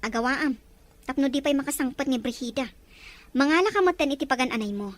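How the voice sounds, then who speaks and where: A woman's voice: high-pitched, fast, lightly acted. A woman playing an anxious, bossy lady of the house giving urgent instructions in an audio drama. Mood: urgent, worried. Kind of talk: Ilocano audio drama acting.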